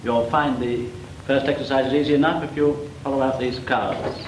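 A man's voice: medium pitch, steady tone, moderate pace, close to an everyday voice. An actor playing a practical male gunnery instructor, matter-of-fact and mildly reproving, in a 1940s training film. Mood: instructive. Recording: echoey, hissy 1940s film soundtrack.

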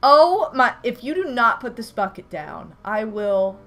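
A woman's voice: a little high, measured pace, faint music behind. A young woman streaming a game from home, chatting casually with viewers, her nose stuffed from a recent flu. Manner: animated, exclaims at the game. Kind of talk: casual livestream chat while playing. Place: home room, indoors.

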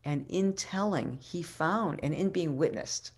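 Slow, low-voiced older woman storyteller. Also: darkish tone, breathy edge. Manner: deliberate, dramatic storyteller with pauses and repetition. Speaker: keynote speaker, rabbi. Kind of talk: storytelling keynote talk. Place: living room at home, indoors. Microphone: webcam video call microphone.

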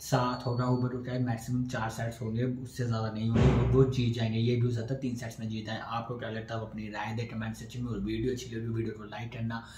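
A man's voice: medium pitch, monotone, fast, hardly any pauses, mellow, a little hiss or hum. A young man from India talks to camera, giving a casual post-match tennis analysis and listing game scores one by one in short phrases. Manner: talking straight to camera, gesturing with his hands. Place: small room indoors. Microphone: camera in front, upper body framed. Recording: roomy echo, faint background ambience.

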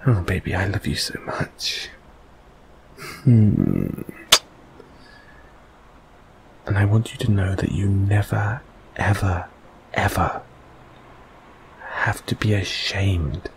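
A man playing a gentle, encouraging boyfriend in a comfort audio roleplay, speaking softly and haltingly with reassuring tag questions. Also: deep voice, gravelly, a little hiss or hum, heavily acted, low-quality recording. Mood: tender.

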